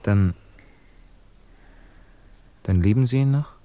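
A man's voice: fairly low pitch, slow, dark tone. An actor playing a troubled, lovesick man who longs to escape, earnest, hesitant and intense, in a 1950s German radio play. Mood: probing. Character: anguished, lovesick physicist man longing to escape his life.

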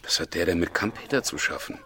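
An actor playing a dry, wary, sardonic police detective in a naturalistic radio crime drama. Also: male voice, sits low, animated, fast talker, a bit bright, a little hiss or hum. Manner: dry, hesitant, ironic.

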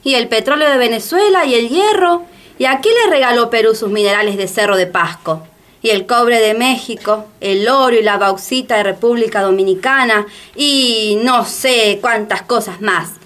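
A woman's voice: fairly high pitch, normal speed, fairly bright tone, close to an everyday voice. A woman playing an impassioned, well-informed denouncer in radio drama dialogue, emphatic and rhetorical. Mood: indignant.

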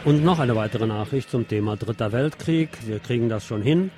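A man's voice: mid-range voice, fairly quick, loud music behind the voice. A man hosting a radio programme, reading news and press commentary aloud in a wry, sardonic manner.

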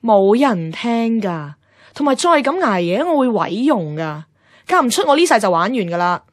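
An actress playing a blunt, nagging young best friend in a Cantonese radio drama, with a Hong Kong accent and a brisk, dramatic, teasing delivery. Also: female voice, medium pitch, sing-song, no echo, studio quality. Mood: dramatic complaining. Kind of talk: radio drama acting and narration.

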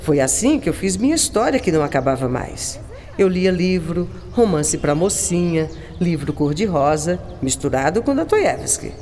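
A woman playing a gentle, philosophical writer in a radio drama, talking in a musing, affectionate and expressive manner. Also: fairly low pitch, moderate pace. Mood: reflective. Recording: long reverb, clear background noise.

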